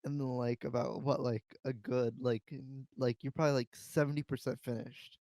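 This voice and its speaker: Male podcast co-host, mid-pitched, informal and opinionated group chat, dry sound. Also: flat delivery, normal speed, a little mellow, no room sound. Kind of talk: casual group discussion.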